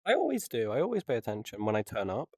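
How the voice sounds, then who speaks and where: A man's voice: lowish voice, huge pitch swings, quick pace, darkish tone, husky, no echo, studio quality. A young man co-hosting a podcast, chatting casually with a joking, self-mocking manner and frequent laughs. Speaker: podcast co-host. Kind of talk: casual chat.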